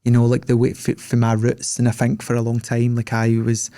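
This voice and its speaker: A man's voice: medium pitch, completely flat delivery, fairly quick, mellow, dry recording. A young man with a Scottish accent hosting a podcast, chatting in a loose, rambling way full of fillers. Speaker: podcast host. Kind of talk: casual interview chat.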